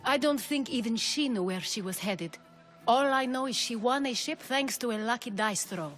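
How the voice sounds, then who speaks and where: A reflective woman in a video game cutscene, as an actress plays her, calm and reminiscing. Her voice is slightly high, with faint music under it. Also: normal speed, lightly acted.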